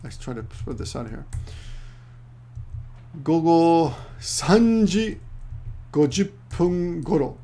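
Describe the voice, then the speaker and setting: A man's voice: fairly high pitch, sing-song, slow, pauses often, dark tone. A middle-aged man from Peru who lives in Japan, giving a casual online Japanese lesson in a rambling way, correcting himself often and adding joking asides. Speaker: Japanese language teacher.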